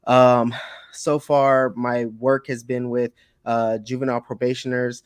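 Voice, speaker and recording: A young man who works as a family therapist speaks earnestly and fairly softly. The recording is dry and close. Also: medium pitch, little pitch movement, measured pace, smooth, no room sound. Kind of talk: casual panel chat.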